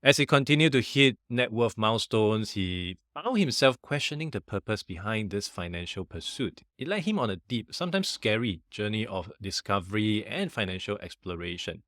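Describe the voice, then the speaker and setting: Low-voiced male podcast host. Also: animated, moderate pace, few pauses, fairly bright tone, dry recording, clean studio sound. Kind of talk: scripted intro, then casual interview.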